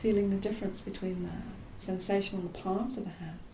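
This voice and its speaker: A woman teaching yoga nidra, leading a guided relaxation in a soft, soothing, unhurried voice that turns breathy at phrase ends and pauses mid-phrase. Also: steady tone. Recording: roomy echo, faint low rumbling noise.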